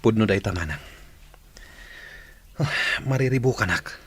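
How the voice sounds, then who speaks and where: A man's voice: lowish voice, lively intonation, measured pace, slightly rough. A man playing a troubled, reluctant henchman and younger brother in an Ilocano radio drama, with an Ilocano accent. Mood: troubled, weary.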